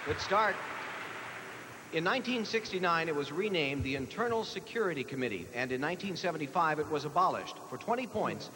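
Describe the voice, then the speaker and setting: An American man hosts a live quiz show with a fairly high-pitched voice. He reads out questions and rulings to players. The sound has long hall reverb and clear background noise. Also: animated, fairly quick, sharp tone.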